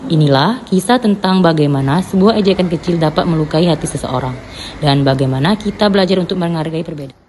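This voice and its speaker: A young woman calmly narrating a student drama in storybook style. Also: sits low, a little fast, a little hiss or hum. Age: young adult. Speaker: narrator and teacher in a student film.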